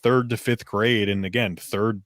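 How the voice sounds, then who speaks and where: A man co-hosting a podcast on coaching, talking casually and emphatically, with lively jumps in his voice. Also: on the low side, a little slow, no room sound, clean studio sound. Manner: animated, emphatic, slips into quoted voices. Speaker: podcast co-host, fitness business coach.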